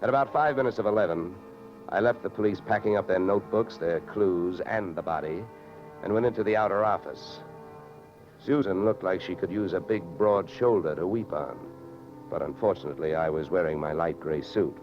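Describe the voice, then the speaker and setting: Man acting a hard-boiled American investigator, low voice, sardonic, deadpan, vintage radio drama, muffled old recording. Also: normal speed, soft background music, close to an everyday voice. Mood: dry, sardonic. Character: wisecracking, hard-boiled male insurance investigator. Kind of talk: 1940s-50s radio detective drama, narration and dialogue.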